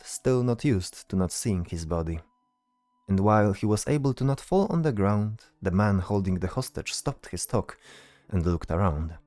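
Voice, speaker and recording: Low, mellow male fantasy narrator. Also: dry recording. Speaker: narrator, storyteller. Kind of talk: scripted fantasy story narration.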